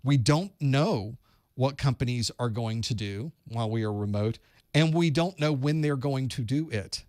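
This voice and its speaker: A man's voice: medium pitch, moderate pace, darkish tone, dry recording, studio quality. A man hosting a live call-in study group, giving advice in a conversational way and thinking aloud. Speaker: host of a certification study group.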